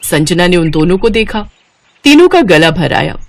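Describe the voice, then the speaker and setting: A woman's voice: lowish voice, sing-song, moderate pace. A woman reading scripted narration for a moral story as its narrator.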